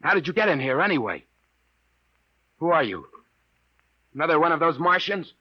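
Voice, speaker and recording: A man's voice: on the high side, very animated, normal speed. A man playing a brash, rattled private detective, slangy and blunt, in 1950s radio drama style. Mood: suspicious. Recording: muffled vintage 1950s radio recording.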